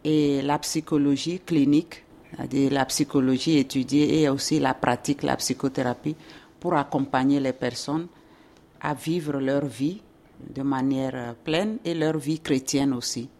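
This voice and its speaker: Low-voiced woman in her fifties, measured. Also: steady tone, dark tone. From Togo, born in Ghana. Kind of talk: interview answers.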